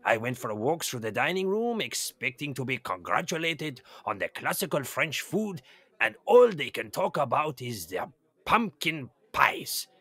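An imperious, raspy head chef. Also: male voice, mid-range voice, big pitch swings, a little slow, fairly bright tone, full character voice. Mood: stern, indignant. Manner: indignant, imperious. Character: furious, pompous adult male French head chef. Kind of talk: children's audio drama acting.

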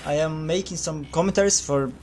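A man's voice: on the high side, fairly quick, darkish tone, slight background noise. A young man with a Brazilian Portuguese accent introducing himself in casual, hesitant English, with frequent laughs and repeated words. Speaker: video producer. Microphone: voice-over over gameplay.

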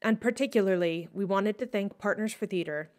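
A woman, a theatre managing director, giving an earnest, measured, articulate scripted address to listeners. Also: medium pitch.

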